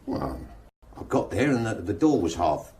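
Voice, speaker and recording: An actor playing a nervous, evasive suspect in a radio drama police interview, halting and stumbling over his words. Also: deep voice, big pitch swings, mellow, slight background noise. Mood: flustered, pleading. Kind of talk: naturalistic radio drama acting.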